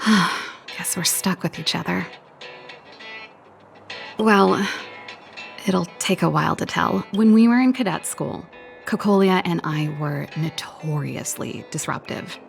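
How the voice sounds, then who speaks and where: Give this game character a wistful, guarded air: a grown woman inventor with plenty of fry in her voice. She talks casually, trailing off when moved. Music plays clearly underneath. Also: fairly low pitch, expressive, slow, heavily acted. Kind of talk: video game voice acting. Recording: loud music over the voice.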